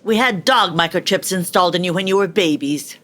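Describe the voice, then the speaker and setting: A woman in an audio play playing a demanding middle-aged mother with a deadpan, matter-of-fact manner. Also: on the low side, brisk, lightly acted.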